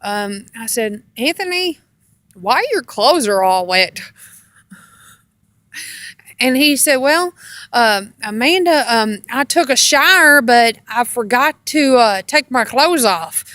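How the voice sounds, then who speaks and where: A woman casually telling rambling personal stories in a halting, meandering way, often repeating herself. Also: fairly high pitch, lively intonation, pushed and bright, no echo, clean studio sound. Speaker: storyteller on own video channel.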